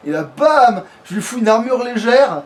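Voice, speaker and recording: A man's voice: very high-pitched, animated, unhurried. A man giving casual let's play commentary in a crude, slangy way, swearing freely. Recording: clearly noisy home recording.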